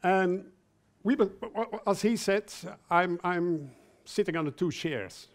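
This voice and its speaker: A man raised in Belgium, speaking English as an in a conference talk, slow and halting, often repeating words and dropping joking asides. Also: high voice.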